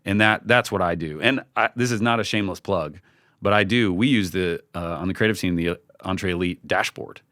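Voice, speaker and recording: A man's voice: low-pitched, brisk, a bit bright, dry recording, studio quality. A man speaking informally and candidly as a team leader in a podcast interview, often acting out bits of conversation. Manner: informal, candid, often acts out quoted remarks. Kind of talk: casual conversational interview talk.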